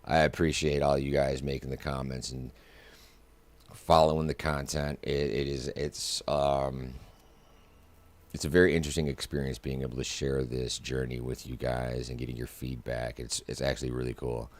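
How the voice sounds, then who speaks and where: Very deep-voiced man chatting through gameplay. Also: flat delivery, moderate pace. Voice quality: smooth, buttery voice. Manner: chatty, rambling, talks to viewers while playing. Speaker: flight sim content creator. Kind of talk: casual gameplay commentary.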